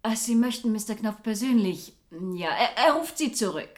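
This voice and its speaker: A woman's voice: a little high, expressive, moderate pace, noisy background, lightly acted. A woman playing an outspoken, businesslike senior assistant at an investment agency in a German radio drama. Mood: polite, busy.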